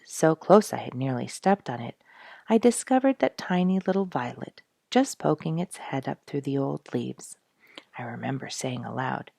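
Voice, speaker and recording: Storybook soldier voiced by a woman: reflective, wondering, earnest, low gravelly tone, dry close recording. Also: a little mellow, dry recording, exaggerated voice. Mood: humble, then awed wonder. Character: humble, reflective male soldier writing a heartfelt letter.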